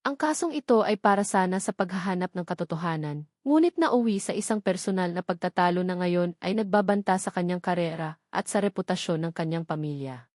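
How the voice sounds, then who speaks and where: A woman's voice: fairly low pitch, expressive, a little fast, darkish tone, dry recording, studio quality. A woman with a Filipino accent reading a scripted romance story as a dramatic narrator.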